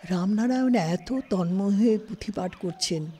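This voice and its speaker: A woman's voice: medium pitch, normal speed, dark tone, slightly rough. A woman narrating a scripted literary story as an audiobook-style narrator. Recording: studio, faint background noise.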